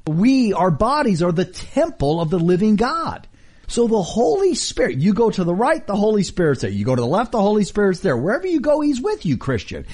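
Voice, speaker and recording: A man's voice: a little fast, slightly rough. A middle-aged man preaching a radio sermon, emphatic and full of rhetorical questions; English is not his first language. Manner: emphatic, rhetorical questions, lively swings in pitch.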